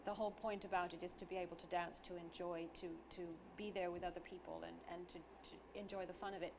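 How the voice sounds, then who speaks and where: A woman's voice: on the low side, level tone, fast. Woman guest with audible hiss behind. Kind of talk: studio talk show interview.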